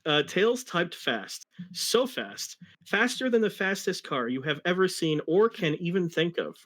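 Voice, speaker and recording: A man giving a mock-dramatic reading of fan fiction, narrating deadpan with casual asides. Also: high-pitched, a little slow. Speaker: co-host reading a story aloud.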